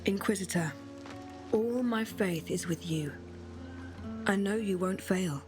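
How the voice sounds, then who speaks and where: A woman acting as a devoted young witch in a fantasy game. Her voice is medium-pitched, earnest and sincere, with formal phrasing over clear music. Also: slow pace, natural voice. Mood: resolute.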